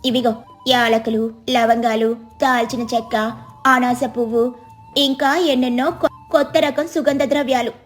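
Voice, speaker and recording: Storybook young wife, woman narrating: boastful, confident, fairly high voice over faint music. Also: steady tone, a little slow, natural voice. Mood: excited, boastful. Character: boastful, eager young village housewife. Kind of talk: storybook dialogue.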